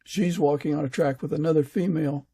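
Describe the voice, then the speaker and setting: A man with a husky, gravelly voice hosting a conversational interview, speaking hesitantly with many halts. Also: on the high side, fairly even intonation, mellow. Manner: hesitant, halting. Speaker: podcast host and fiction author.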